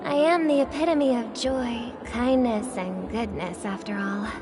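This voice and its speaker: Woman doing a poised game singer, tired under the niceness, slow and a bit high, music behind. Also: close to an everyday voice. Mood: weary. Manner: calm, reassuring, then quietly sardonic. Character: poised young woman, weary beneath a cheerful front. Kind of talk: video game voice acting.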